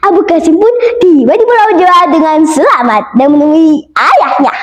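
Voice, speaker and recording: A woman's voice: extremely high pitch, lively intonation, normal speed, muffled recording. A primary-school girl from Central Sulawesi giving a rehearsed, dramatic and emphatic folktale narration as a storytelling contestant. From Central Sulawesi, Indonesia. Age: child, primary-school age. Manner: declamatory, emphatic. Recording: roomy, echoing sound.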